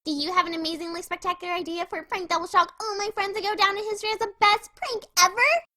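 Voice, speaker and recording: A woman voicing a hyper, giddy young pink cartoon pony with a squeaky, shrill, giggly and gushing delivery. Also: steady tone, fast talker, sharp tone, no room sound, studio quality. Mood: excited, thrilled.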